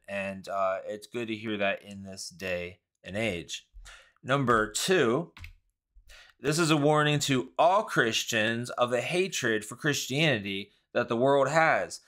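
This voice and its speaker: A man in his forties speaks earnestly into a studio mic on a boom arm in his home study. His voice is bright and forceful, and he talks with frequent fillers and quick asides. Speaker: podcast host. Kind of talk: earnest commentary.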